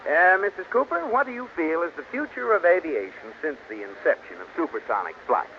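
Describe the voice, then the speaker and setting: A man's voice: a little high, sing-song, brisk. A man playing a long-suffering, dryly sarcastic husband in a 1940s radio sitcom. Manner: dry, sarcastic. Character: wry, long-suffering husband of a scatterbrained wife. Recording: noisy, muffled old radio recording.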